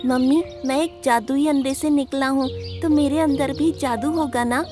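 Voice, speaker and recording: Baby bird chick, acted by a woman: small boy, high-pitched, earnest, childlike cartoon delivery. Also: fairly even intonation, moderate pace, music in the background, close to an everyday voice. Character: sweet young magical baby bird, male.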